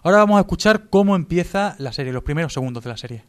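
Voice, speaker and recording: A man's voice: fairly high pitch, animated, racing, clear, resonant tone. A man from Spain with a Spanish accent giving a casual, jokey radio review, partly read out and sometimes stumbling. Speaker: radio show contributor reviewing TV series.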